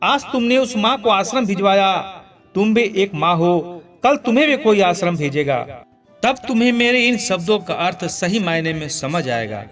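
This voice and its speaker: A man's voice: high-pitched, lively intonation, moderate pace, a bit bright, faint music behind. A man voicing a guilt-stricken middle-aged judge in a moral story, solemn, reproachful and emotional. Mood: remorseful.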